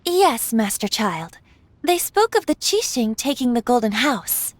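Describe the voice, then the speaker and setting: A dutiful female underling from a video game, deferential in delivery. Her voice is a bit high and animated, in a dry recording. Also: unhurried, no echo. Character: deferential female subordinate agent reporting to her master.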